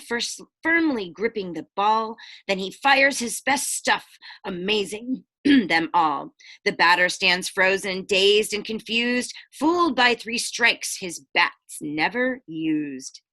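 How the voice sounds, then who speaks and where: A woman teacher reading a picture book aloud to children, expressive, with chatty explanations and questions for the listeners. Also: medium pitch, slow.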